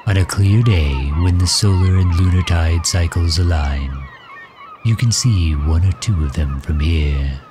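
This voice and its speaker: A deep-voiced villain, acted by a man, speaks slowly over prominent music in an intimate ASMR roleplay. He is courtly and protective, fussing over the injured hero with gentle formality. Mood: proud, expansive. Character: doting, overprotective male villain caring for injured hero. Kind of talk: ASMR roleplay audio drama.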